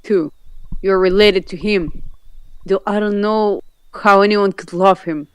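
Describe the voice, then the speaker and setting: A woman's voice: mid-range voice, fairly even intonation, unhurried, clear, resonant tone, lo-fi sound. A woman reading stories aloud in an amateur, casual way, with amused side comments. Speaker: fanfiction reader and commentator.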